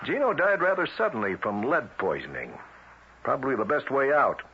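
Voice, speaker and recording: A man's voice: mid-range voice, sing-song, moderate pace. An actor playing a tough but reassuring government lawman in a radio drama, wry and easygoing. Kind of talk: 1970s radio drama acting. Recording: muffled, hissy old radio broadcast.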